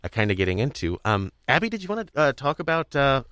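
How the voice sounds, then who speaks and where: A young man casually hosting a podcast, talking in a rambling, halting way with frequent restarts. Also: mid-range voice, sing-song, a bit bright, clear, resonant tone, dry recording, studio quality. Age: young adult. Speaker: podcast host.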